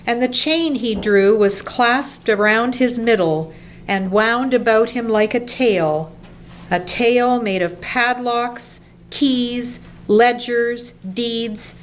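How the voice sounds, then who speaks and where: A woman narrating a radio play from a script in a measured storytelling manner. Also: on the high side, poor audio. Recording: faint background noise.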